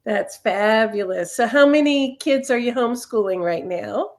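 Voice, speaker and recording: An enthusiastic woman speaks as a podcast host. Her voice sits a little high, and her delivery is chatty and upbeat, like someone conducting a friendly interview. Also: normal speed.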